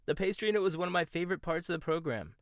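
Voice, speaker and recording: Soft-spoken man in a dry close-miked roleplay. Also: fairly high pitch, animated, dry recording.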